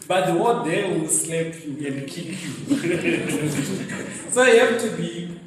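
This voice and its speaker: A man from Zimbabwe with a Zimbabwean accent, giving an animated, storytelling motivational talk at a luncheon and laughing at his own stories. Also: fairly high pitch, slow pace, background noise. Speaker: guest speaker. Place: event venue hall. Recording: very echoey hall, mic set far off.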